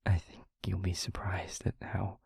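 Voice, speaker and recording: A very breathy, husky vampire boyfriend acted by a man murmurs low and half-whispered in an intimate audio roleplay. He is gentle and concerned, hesitating often as he reassures his partner, with a slow, careful delivery. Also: completely flat delivery, no room sound, heavily acted. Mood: gentle, reassuring. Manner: soft, hesitant, halting, reassuring.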